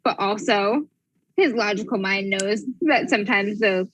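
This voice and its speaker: A woman's voice: medium pitch, a little slow, fairly bright tone, highs cut off, a little hiss or hum. A woman chatting casually and expressively as a player on a tabletop game show. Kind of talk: casual chat about a game character.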